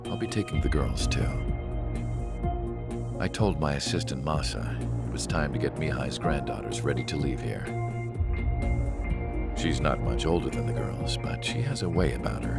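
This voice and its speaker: An actor playing a weary, reflective older scientist who narrates a war story in a video game in a measured, somber, detached manner. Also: male voice, extremely low pitch, vocal fry. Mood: somber, resigned. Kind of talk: scripted cutscene narration. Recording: loud music under the voice. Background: loud music.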